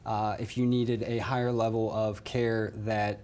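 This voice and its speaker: A man's voice: lowish voice, flat delivery, unhurried, a little mellow, clear, resonant tone. A man from West Virginia, a hospital nurse manager, giving polite and explanatory interview answers with a bit of dry humor.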